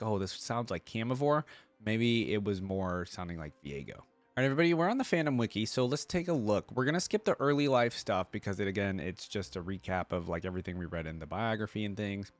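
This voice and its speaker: A man hosting a casual reaction video, rambling and thinking out loud with enthusiasm. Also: fairly low pitch. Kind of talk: casual reaction commentary, reading aloud.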